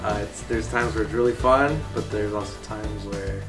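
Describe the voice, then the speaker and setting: A man's voice: on the low side, steady tone, normal speed. Young man, 20s, slangy, laid-back, distant mic, background music. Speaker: band member. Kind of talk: casual chat.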